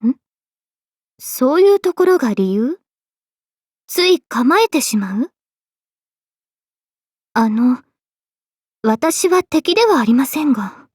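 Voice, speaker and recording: A woman voicing a gentle, dignified young female knight in a game, speaking politely and with composure. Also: sits high, sing-song, slow pace, pauses often, no room sound, studio quality, heavily acted. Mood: gently chiding. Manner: polite, composed, formal speech. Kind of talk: scripted game character voice lines.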